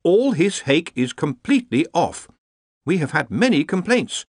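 A man's voice: fairly high pitch, very animated, moderate pace, breathy edge, no room sound, clean studio sound, pitched above the performer's own voice, heavily acted. A man voicing a harried, earnest academic wizard who organises everyone in a fussy, polite, explanatory way, in a storybook reading. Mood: officious, stern.

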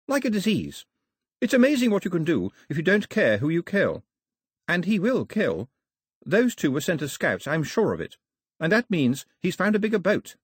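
Male narrator as young girl, storybook character, raised pitch, prim, earnest, insistent, animated delivery. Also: breathy edge, dry recording, clean studio sound.

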